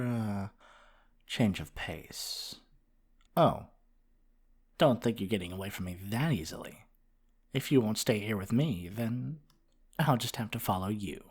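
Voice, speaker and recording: A man playing a flirty, slightly possessive dragon-blooded librarian in an ASMR roleplay, speaking softly and teasingly to the listener. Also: on the low side, husky, full character voice. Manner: coy, teasing, playfully insistent. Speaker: roleplay performer.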